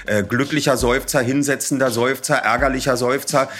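A man's voice: mid-range voice, steady tone, normal speed, a bit bright. A man, a voice actor, telling playful anecdotes in an interview. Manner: playful storyteller. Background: music.

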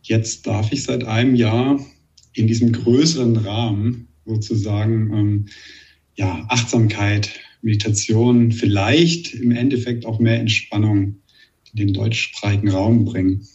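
Calm man with a Saxon accent. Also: lowish voice, distant mic, highs cut off. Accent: Saxon German. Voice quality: slight lisp. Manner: calm, measured, searching for words. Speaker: meditation teacher, guest. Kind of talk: thoughtful interview answers.

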